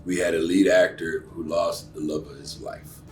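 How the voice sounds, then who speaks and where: A man's voice: deep voice, little pitch movement, moderate pace, far from the mic, soft background music. A middle-aged man from Cuba, an actor and acting teacher, talking earnestly in a casual interview with plenty of fillers. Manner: earnest, warm-hearted, emphatic, with many fillers.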